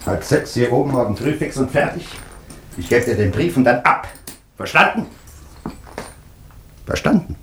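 An actor playing a gruff, domineering older army colonel in a German radio drama, barking curt commands. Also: male voice, medium pitch, a little hiss or hum, natural voice.

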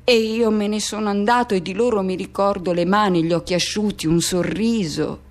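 An actress performing a radio theatre monologue as an anguished person haunted by a lost love, speaking intensely in short, broken, repeated phrases. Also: female voice, medium pitch, steady tone, moderate pace, lightly acted. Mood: mournful, subdued.

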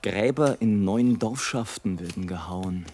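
An actor playing a rapturous, scornful airship balloonist in a theatrical, declamatory radio play monologue, swinging between grand exultation and hush. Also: male voice, on the low side, unhurried, slight background noise. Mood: brisk, sardonic. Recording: studio radio play with music.

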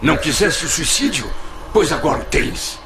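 A high, tormented blind soul. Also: male voice, animated, crowd noise. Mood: despairing. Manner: theatrical, tormented, halting and desperate. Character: anguished, blinded man trapped in afterlife torment after suicide. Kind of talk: radio drama acting. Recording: dramatic music under the voice.

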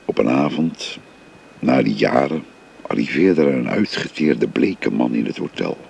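An actor playing an older father who gently confides his family history to a young woman, in a radio drama. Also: extremely low pitch, lively intonation, measured pace, gravelly, slight background noise, full character voice. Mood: gentle, confiding. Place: recorded on location.

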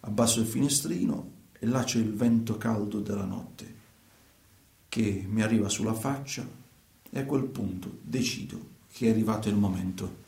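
An actor playing a man who tells his own night-driving story in a halting, repetitive, colloquial radio monologue. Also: lowish voice, vocal fry, darkish tone, slight background noise. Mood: brooding, hushed. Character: agitated male night driver telling his own story. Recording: roomy, echoing sound.

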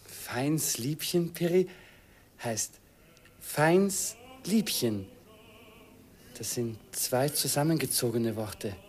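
Nineteen-year-old student, earnest, slow-spoken, pedantic explainer, radio play. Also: male voice, medium pitch, breathy edge. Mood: explaining. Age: young adult.

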